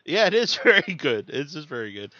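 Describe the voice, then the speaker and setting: A man hosting a podcast interview, relaxed and hesitant, filling with "uh" and repeating words. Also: fairly high pitch, a little fast, dry recording. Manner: relaxed, hesitant, many "uh"s and repeated words, frequent laughs and "mm-hmm". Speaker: podcast host. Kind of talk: casual interview chat. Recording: dull lo-fi sound, dry.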